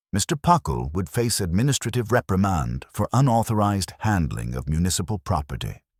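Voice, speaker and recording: A man with an exceptionally deep voice narrates a detective story. His scripted delivery is formal and measured, yet the intonation moves around a lot. The sound is very dry. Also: breathy edge, no room sound. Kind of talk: scripted audiobook-style narration.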